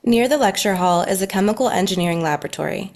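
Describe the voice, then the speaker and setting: A woman's voice: medium pitch, lively intonation, fast talker, gravelly, darkish tone. A woman narrating stage directions in a scripted table read.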